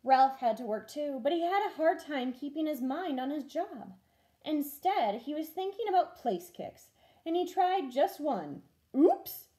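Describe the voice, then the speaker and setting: A woman teacher reading a children's storybook aloud in an expressive storytelling style. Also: high-pitched, a little slow.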